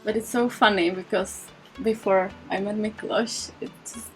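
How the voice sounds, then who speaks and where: Soft-spoken young woman, music under. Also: medium pitch, steady tone, normal speed, low-quality recording. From Czech Republic. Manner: soft-spoken, short phrases, light laughs. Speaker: guest, music teacher turned property investor. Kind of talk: casual interview chat.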